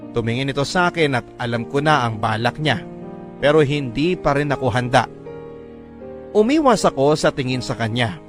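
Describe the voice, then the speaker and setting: Man, scripted story narration, medium pitch, radio drama host, music underneath. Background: background music.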